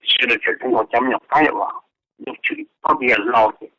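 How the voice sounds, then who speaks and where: A man's voice: mid-range voice, normal speed, sharp tone, no echo. A man talking informally as a participant on a telephone conference call. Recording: dull, lo-fi telephone conference line.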